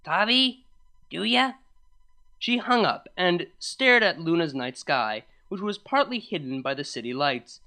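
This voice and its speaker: A woman reading a scripted fan fiction story as narrator, in an expressive storytelling manner. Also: low-pitched, normal speed, few pauses.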